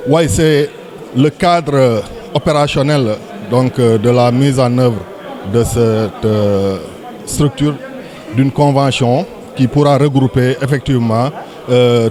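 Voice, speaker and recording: Measured man with a Senegalese French accent. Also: medium pitch, a little mellow. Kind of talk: interview statement, semi-formal.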